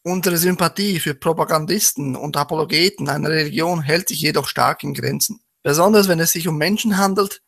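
Creaky, higher-pitched Swiss German male host. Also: fairly bright tone, dry recording, studio quality. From Switzerland. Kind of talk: scripted introduction, read aloud.

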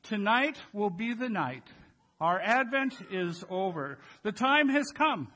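Higher-voiced older American pastor. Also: male voice, sing-song, slow, pushed and bright. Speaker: pastor leading worship. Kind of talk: church announcements and liturgy to a congregation. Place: large church sanctuary with congregation.

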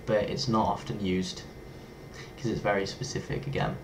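Playful young Englishman, lowish voice. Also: moderate pace, a little hiss or hum, rough recording. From England. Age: young adult. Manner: playful, lively, toys with foreign words. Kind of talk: casual vlog talk to camera. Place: small bedroom indoors.

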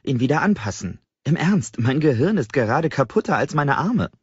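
An eager young guy , as a male audiobook reader plays him, pitched up high and chattering earnestly about his passion. His voice is a little husky and the dry recording sounds slightly dull. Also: sing-song, moderate pace, dry recording. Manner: eager, emphatic.

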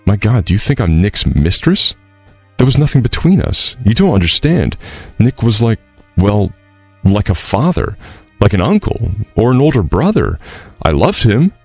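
A man's voice: fairly low pitch, very animated, moderate pace, a little mellow, husky, no room sound, faint music behind, voice pushed up, exaggerated voice. A man voicing a young grieving woman. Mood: earnest.

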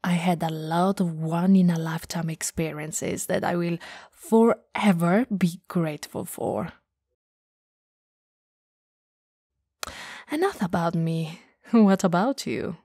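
A woman acting a friend's warm, teasing goth older sister in an intimate, soft-spoken ASMR roleplay, sometimes slipping toward a whisper. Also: fairly low pitch, a little slow, slightly rough, no room sound, studio quality. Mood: friendly, impressed. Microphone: close studio microphone.

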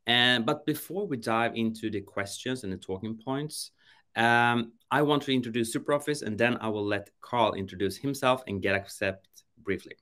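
A man hosting a business webinar over video call from an office, measured and friendly. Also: mid-range voice, pushed and bright. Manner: measured, friendly moderator with some restarts. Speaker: webinar host.

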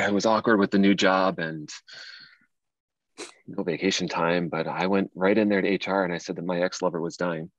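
A low male voice, close and dry, an actor as an earnest man in his late thirties carrying grief in a stage drama. He talks conversationally, and the sound is a little dull. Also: fairly even intonation, moderate pace, no echo. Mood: earnest, sorrowful. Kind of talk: table read of a dramatic script.

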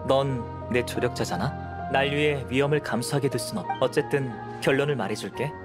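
An actor playing a calm, scholarly young alchemist in an anime game, composed, measured and gently detached. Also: male voice, mid-range voice, over music, close to an everyday voice. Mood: reflective, calm.

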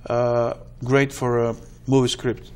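A middle-aged man hosting a studio interview, asking slow, deliberate questions with many hesitant pauses. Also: medium pitch, little pitch movement, smooth. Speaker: talk show host. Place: TV studio with blue curtain.

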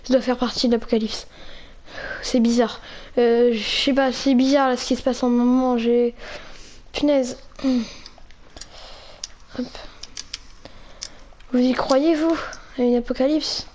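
A woman's voice: fairly high pitch, fairly even intonation, unhurried, long pauses, darkish tone, slight background noise. A teenage girl improvising a gaming roleplay, exclaiming and often repeating short phrases. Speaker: player in a Minecraft roleplay video.

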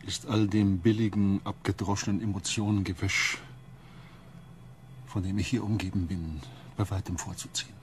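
A hostile, abrasive outsider in a radio play. His voice is low, cold and cutting, with faint ambience behind it. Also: slight background noise. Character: contemptuous, hostile empath, an abrasive man. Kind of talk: science fiction radio drama dialogue.

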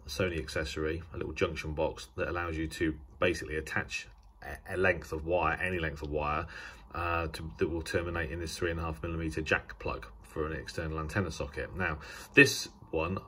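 A British man giving a casual, unscripted hobbyist demonstration, speaking haltingly and often restarting his sentences. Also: a bass voice, fairly even intonation, sharp tone, slightly rough. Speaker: radio hobbyist presenting a video. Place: indoors at a table.

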